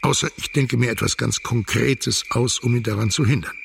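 A man's voice: mid-range voice, normal speed, vocal fry, background noise. An actor playing a stern, middle-aged widowed businessman and exhausted father in a radio crime drama, speaking formally, bluntly and with tight control. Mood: bitter, controlled.

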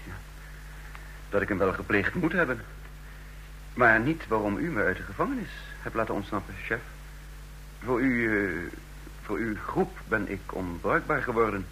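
An actor playing a bewildered amnesiac fugitive who speaks haltingly in a vintage radio drama. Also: male voice, fairly low pitch, animated, bright, forceful tone, rough recording. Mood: dazed, uneasy. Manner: hesitant, halting. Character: bewildered amnesiac male fugitive under interrogation. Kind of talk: vintage Dutch radio play acting. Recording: old radio recording, hum and hiss.

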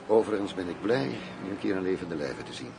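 Low gravelly voice, undercover agent posing as gangster boss, dry, radio drama. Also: male voice, animated, fast talker, noisy background. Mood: measured, concerned.

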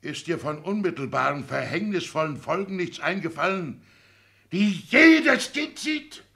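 A man's voice: a little high, lively intonation, a little slow, vocal fry, roomy sound, exaggerated voice. An actor playing an indignant, anxious old petty-bourgeois father, a minor official, theatrically agitated in the style of 1960s German radio drama. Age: older. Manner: agitated, theatrical, swinging between outbursts and hushed lament.

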